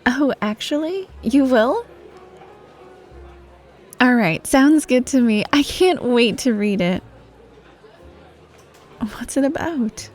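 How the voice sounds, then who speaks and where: A woman playing a friendly, teasing yet bashful popular student, speaking softly and casually to the listener in a wholesome ASMR roleplay. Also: sits high, expressive, slow, pauses often, a faint crowd, exaggerated voice. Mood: eager, coaxing. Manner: soft, casual, hesitant, giggly. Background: music, cafe ambience.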